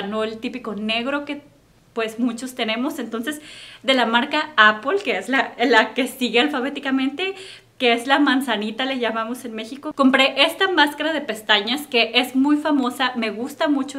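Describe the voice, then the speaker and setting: A young woman with a Mexican accent, chatting casually to camera about makeup she bought, recorded at home in a bright room. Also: fairly high pitch. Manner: chatty and upbeat, friendly direct address. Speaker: beauty vlogger.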